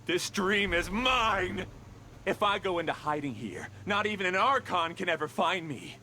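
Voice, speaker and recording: A man's voice: sits high, sing-song, normal speed, pushed and bright, slight background noise, exaggerated voice. A man voicing a bitter, scheming male dream master in a video game, brooding and defiant.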